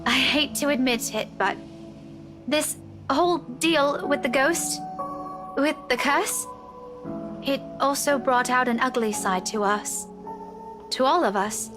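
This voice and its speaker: A high-voiced young woman in a visual novel drama, earnest and halting. She speaks slowly and sincerely, with music playing underneath. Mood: wistful, troubled. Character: earnest young woman confessing love to childhood friend.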